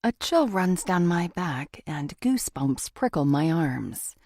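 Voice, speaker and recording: A woman's voice: lowish voice, big pitch swings, slow, a little mellow, dry recording, clean studio sound. A woman narrating a first-person thriller audiobook from a script.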